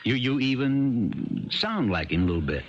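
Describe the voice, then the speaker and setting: An actor in a 1970s radio drama playing a calm, persistent small-town sheriff who asks halting questions. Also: male voice, mid-range voice. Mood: dryly suspicious. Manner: hesitant, halting questions with ums and uhs. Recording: dull 1970s radio broadcast, music behind.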